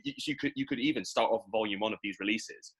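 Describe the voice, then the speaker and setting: Male podcast host, low-pitched and rapid, animated, casual fan-chat delivery. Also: breathy edge.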